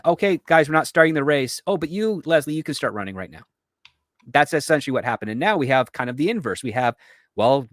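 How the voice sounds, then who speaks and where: A man's voice: mid-range voice, no room sound, studio quality. A man speaking as a panel guest, giving emphatic, opinionated commentary in a conversational way.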